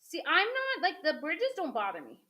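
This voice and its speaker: Lively chatty woman with sniffles. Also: very high-pitched, moderate pace. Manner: chatty, talks to viewers as she works. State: sniffly with a cold. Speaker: craft host. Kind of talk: casual craft demo chat.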